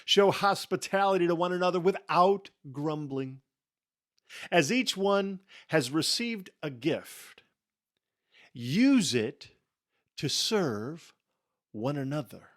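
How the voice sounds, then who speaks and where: Slow, solemn man reading scripture dramatically. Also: a little high, animated. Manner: solemn, theatrical, emphatic phrasing with deliberate pauses. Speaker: Bible reader.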